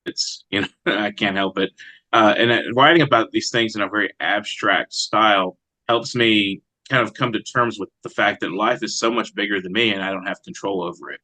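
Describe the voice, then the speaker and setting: A man speaking as an interviewed poet, in a reflective, halting conversational way. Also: fairly low pitch, sharp tone, no room sound, rough recording. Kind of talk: conversational interview with brief poem reading.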